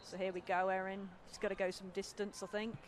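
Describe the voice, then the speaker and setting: A woman doing relaxed, conversational live football commentary at an outdoor stadium. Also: mid-range voice, brisk, a little hiss or hum. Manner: relaxed, conversational, reacts to play. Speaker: match commentator.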